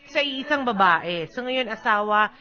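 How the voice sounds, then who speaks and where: A woman's voice: fairly high pitch, animated, unhurried, sharp tone, lo-fi sound, soft background music. A woman with a Filipino accent co-hosting radio in playful, teasing, casual banter. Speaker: radio DJ and co-host.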